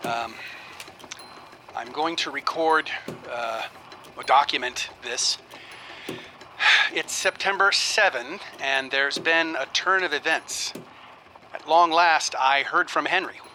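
Bright-voiced, hesitant male scholar. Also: fairly high pitch, slow pace, pauses often, slight background noise, exaggerated voice. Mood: puzzled. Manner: hesitant, earnest, excitable. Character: anxious, puzzled male scholar documenting strange events. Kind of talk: audio drama acting.

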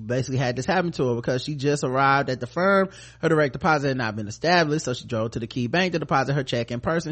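A man's voice: a little high, fairly bright tone. An American man hosting a podcast, giving casual, comedic news commentary in an irreverent, sarcastic, sweary way. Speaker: podcast host.

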